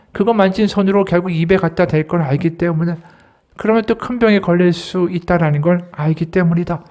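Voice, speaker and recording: A man's voice: high-pitched, a little mellow. A man, an associate pastor, giving a Sunday sermon, explaining scripture and asking the congregation rhetorical questions.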